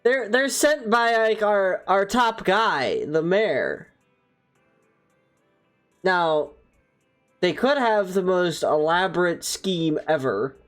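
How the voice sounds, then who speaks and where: A streamer casually reacting to a game, muttering and swearing. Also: mid-range voice. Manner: slow, muttering reactions with profanity. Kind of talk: casual gaming commentary.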